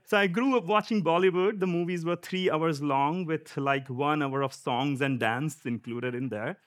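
A man from India with an Indian accent giving a conversational church sermon, often pausing with fillers and light laughs. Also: fairly high pitch. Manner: conversational, chuckling, with frequent fillers. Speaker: preacher.

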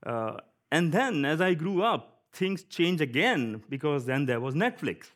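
A man from India with an Indian accent giving a conversational church sermon, often pausing with fillers and light laughs. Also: fairly high pitch, big pitch swings, a bit bright. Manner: conversational, chuckling, with frequent fillers. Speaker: preacher.

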